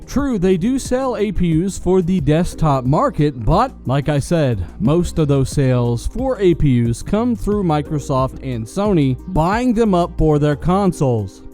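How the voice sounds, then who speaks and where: Male gaming news host, high register but soft-edged tone, emphatic scripted delivery, faint music underneath. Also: big pitch swings, measured pace, hardly any pauses. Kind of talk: scripted video news commentary to camera-style audience.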